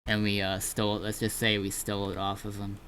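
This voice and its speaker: A man's voice: on the low side, completely flat delivery, fast talker, a bit bright, a little hiss or hum. A man chatting casually on a gaming livestream, running a roleplay server, laid-back and blunt, swearing freely.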